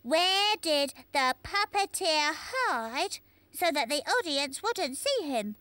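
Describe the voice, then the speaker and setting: A woman's voice: high voice, lively intonation, slow, sharp tone, no room sound, full character voice. A woman voicing a cheerful, encouraging young tiger puppet who hosts a children's quiz show. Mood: questioning, bright. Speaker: quiz host.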